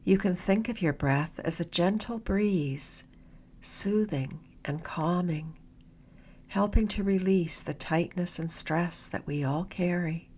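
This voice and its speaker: Female meditation guide, slightly low register, soft and a touch breathy, gentle unhurried delivery with long pauses. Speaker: meditation guide, mindfulness mentor.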